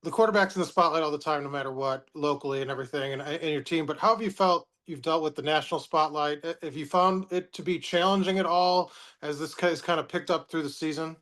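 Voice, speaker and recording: A man's voice: fairly high pitch, fairly even intonation, fast talker, a bit bright. A man working as a sports reporter, asking informal interview questions at a press session.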